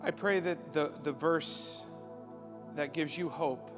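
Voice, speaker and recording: Gentle man leading prayer over music. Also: a little high, takes it slowly. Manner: gentle, quiet pastoral address. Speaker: pastor. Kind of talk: closing prayer. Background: soft ambient worship music.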